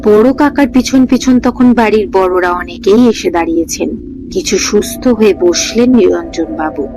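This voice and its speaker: A woman narrates a ghost story as host of her storytelling channel, reading at a steady, unhurried pace. Her voice sits at an ordinary middle pitch for a woman. Music plays beneath the narration throughout. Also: poor audio. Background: background music.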